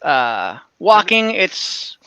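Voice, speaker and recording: A man working as game master, narrating an online tabletop game in a casual, halting, conversational way. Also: sits high, very animated, crowd noise. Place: indoors, online call.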